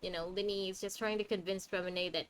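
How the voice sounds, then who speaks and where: A woman's voice: mid-range voice, steady tone, quick pace, a little hiss or hum. A woman giving casual live gameplay commentary, chatty and playful as she reacts aloud to the game. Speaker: game streamer. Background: game music.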